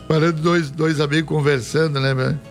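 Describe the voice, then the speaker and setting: A man hosting a relaxed radio show in a Rio Grande do Sul accent, fooling around over listener messages. His voice sits a little high with a gravelly edge. Faint music plays under the talk in the studio. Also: fairly quick. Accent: Rio Grande do Sul Portuguese. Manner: jokey, chatty, laughs easily. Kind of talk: casual radio chat.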